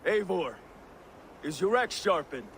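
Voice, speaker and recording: An actor playing a level-headed, commanding Viking war leader in a video game. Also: male voice, sits high, very animated, unhurried, vocal fry, a bit bright, a little hiss or hum, heavily acted. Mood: comradely. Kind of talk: video game cutscene acting.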